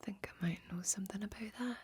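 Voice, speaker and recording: Folksy fantasy blacksmith, female performer, ASMR roleplay. Breathy near-whisper, dark soft tone, gentle and admiring. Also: medium pitch, quick pace. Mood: gentle, helpful. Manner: gentle, quaint old-fashioned exclamations and phrasing.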